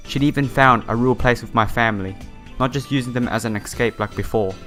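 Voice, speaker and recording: Guy w a sore throat reading a visual novel out loud, casual comments, music behind him. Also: mid-range voice, brisk. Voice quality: slightly broken, sore-throated voice. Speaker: let's player reading a visual novel aloud. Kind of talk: casual playthrough, reading game text with side comments. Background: game music.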